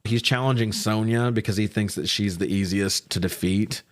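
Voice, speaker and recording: A man co-hosting a casual movie review, talking in a slangy, sweary, animated way with comic exasperation. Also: lowish voice, normal speed. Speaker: podcast co-host reviewing movies. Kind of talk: casual profane comedic movie recap chat.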